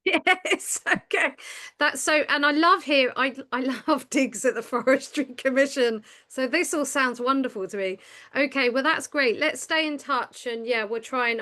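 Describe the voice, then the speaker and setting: A woman's voice: fairly high pitch, moderate pace. Hesitant woman hosting a meeting. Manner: warm, hesitant, many restarts. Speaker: meeting host.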